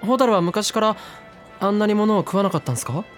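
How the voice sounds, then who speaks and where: A man's voice: high-pitched, brisk, faint music behind, lightly acted. A man voicing a brash teenage boy who talks in a laid-back, slangy way. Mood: easygoing. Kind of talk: anime drama CD acting.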